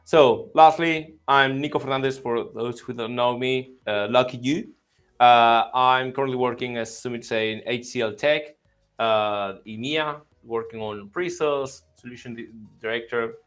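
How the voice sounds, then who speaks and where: A man's voice: medium pitch, steady tone, moderate pace, fairly bright tone, soft background music. A man hosting an online panel chat, friendly and rambling with many fillers. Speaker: host.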